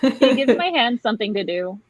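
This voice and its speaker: A woman with a voice on the high side talks in a loose, casual way among friends on a live show. She is informal and readily pokes fun at herself. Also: animated, fairly quick. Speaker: guest on a group live show.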